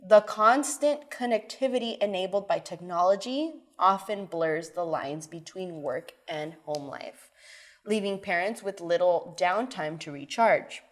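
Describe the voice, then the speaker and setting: A woman's voice: lowish voice, unhurried. Chatty woman co-host. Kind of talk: casual podcast chat.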